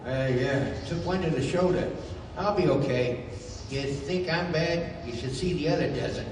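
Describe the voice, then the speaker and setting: A man's voice: fairly high pitch, normal speed, noisy background, natural voice. An actor playing a wisecracking, bickering tough-guy sidekick in a 1930s-style radio serial. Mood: joking, grumbling. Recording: distant echoey hall, music underneath.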